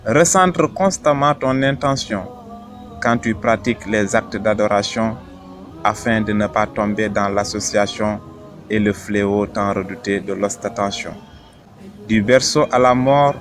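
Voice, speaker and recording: A man reciting a scripted religious poem in rhyme, solemn and earnest, with a measured, exhorting delivery. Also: lowish voice. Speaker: poetry reciter. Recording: music behind the voice. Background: music.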